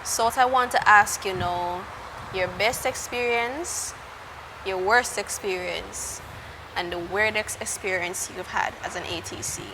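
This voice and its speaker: A woman's voice: medium pitch, a little slow, noisy background. A woman hosting a casual interview. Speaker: podcast host, interviewer.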